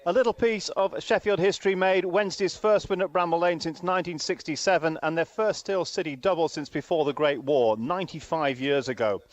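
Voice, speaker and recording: A man with a fairly high voice delivers a scripted football report in full, flowing sentences. The sound is a little dull and lo-fi.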